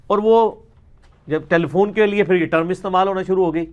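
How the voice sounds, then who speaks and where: High male voice, Pakistani Punjabi accent, religious scholar, didactic, colloquial, emphatic, informal question-and-answer style. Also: highs cut off. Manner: didactic, colloquial, emphatic, often checking the listener follows.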